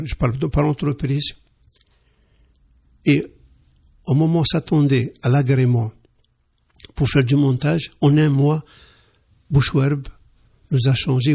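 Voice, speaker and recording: Male radio guest, husky and gravelly, hesitant but emphatic. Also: medium pitch, fairly even intonation, measured pace, pauses often, a little mellow. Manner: hesitant, repeats words, emphatic. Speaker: interview guest, car dealer. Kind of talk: radio interview.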